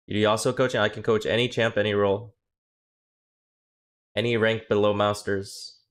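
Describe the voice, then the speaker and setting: Young man, streamer, low voice, casual cursing, joking banter. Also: level tone. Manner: profane, joking, quick reactions. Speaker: streamer and coach. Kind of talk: casual livestream chat.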